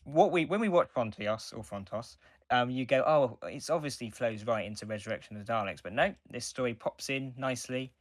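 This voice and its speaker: A man co-hosting a podcast, chatting enthusiastically as a fan and often doubling back on his words. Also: mid-range voice. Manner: enthusiastic, halting with repeated words. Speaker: podcast co-host. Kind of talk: casual fan discussion.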